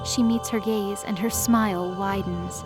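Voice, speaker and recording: A woman's voice: mid-range voice, fairly even intonation, measured pace, over music. A woman narrator reading scripted dramatic story narration in an audio drama.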